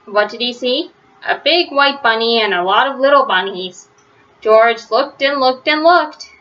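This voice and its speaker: A woman reading a children's story aloud, phrasing it simply and deliberately and stressing words for young listeners. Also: on the high side, lively intonation, highs cut off. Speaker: storybook reader. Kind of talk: children's read-aloud narration.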